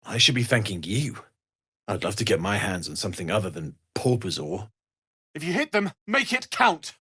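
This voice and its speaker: An actor playing a kindly, earnest young blacksmith in a fantasy video game, with a husky, breathy voice and an understated manner. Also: male voice, sits low, expressive, fairly quick, a bit bright, full character voice. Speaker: blacksmith and trader. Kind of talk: video game character dialogue.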